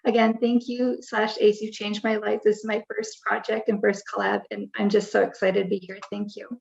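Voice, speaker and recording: A woman, a guest artist, thanking the host with excited, effusive warmth on a call. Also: mid-range voice, moderate pace, vocal fry, slightly rough, dull audio.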